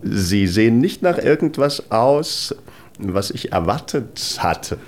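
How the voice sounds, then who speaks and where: A man's voice: lowish voice, big pitch swings, slow, a little hiss or hum, full character voice. An actor playing a nervous, apologetic man who fumbles through explaining a job in a radio drama. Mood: awkward. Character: nervous man hiring a killer for a dying friend.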